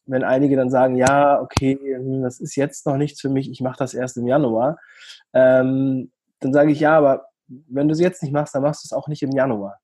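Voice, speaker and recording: A man's voice: medium pitch, little pitch movement, moderate pace, darkish tone, slightly rough, no echo. Man with a cold, motivational coach. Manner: coaching, motivational, casual slang. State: has a cold. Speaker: host, mastermind coach. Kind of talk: casual conversational interview.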